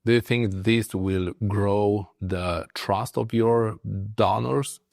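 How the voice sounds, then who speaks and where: A man's voice: on the low side, steady tone, slow, gravelly, a little mellow, no room sound, studio quality. A man hosting a radio interview, asking short, direct, probing questions. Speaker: interviewer, host. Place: radio studio.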